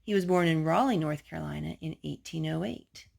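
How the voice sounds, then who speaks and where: A woman with a slightly husky voice reading a children's picture book aloud as a storytime host. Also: sits low, a little fast, a little mellow.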